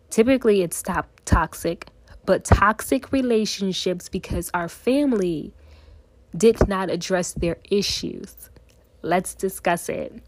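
Young woman with a cold doing a podcast on her phone, kinda nasal, slow and earnest, dry sound. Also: medium pitch, no echo. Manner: earnest, deliberate, speaks in short phrases. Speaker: podcast host. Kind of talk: solo podcast monologue.

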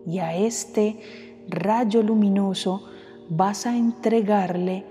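A woman leading a guided meditation, speaking gently and unhurriedly with pauses, as a soothing guide. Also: medium pitch, steady tone. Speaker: meditation guide, podcast host. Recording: long reverb over music bed. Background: background music.

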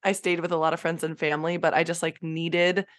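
A woman whose voice is raspy from allergies, chatting in a relaxed, rambling way on a podcast. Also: low-pitched, a little fast. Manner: relaxed, rambling, laughs mid-sentence. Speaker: podcast co-host and guest. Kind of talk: casual chat.

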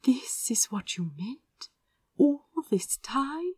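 Genteel young-hearted prince female narrator acting: exclamatory, gentle, higher voice, very animated delivery for an audiobook. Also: slow, husky, dry recording, full character voice. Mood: hushed awe. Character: Azra, refined male prince, gentle, delighted, easily awed. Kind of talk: storybook character reading.

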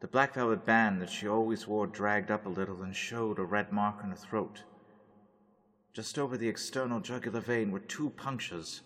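A man's voice: on the low side, a bit bright, natural voice. A man playing an earnest young doctor narrating in a radio drama. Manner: measured storytelling narration. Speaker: Dr. Seward. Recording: long hall reverb.

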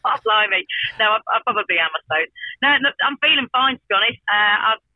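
A woman's voice: medium pitch, expressive, fast talker, no room sound. A chatty, self-deprecating woman, a team captain giving a casual interview over the phone. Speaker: amateur football team captain being interviewed. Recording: tinny telephone line.

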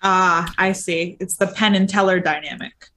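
A woman playing in a tabletop game, chiming in with eager, quick quips. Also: lowish voice, steady tone, crowd noise. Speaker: player.

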